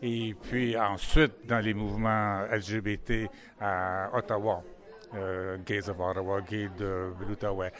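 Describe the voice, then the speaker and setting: An older man with a Franco-Ontarian accent speaking in a measured, thoughtful way. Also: fairly low pitch, flat delivery, a bit bright. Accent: Franco-Ontarian French.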